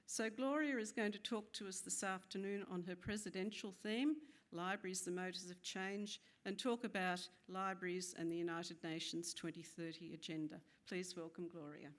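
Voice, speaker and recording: A woman's voice: fairly low pitch, fairly even intonation, normal speed. A woman introducing the keynote speakers at a conference, informal, with some fillers.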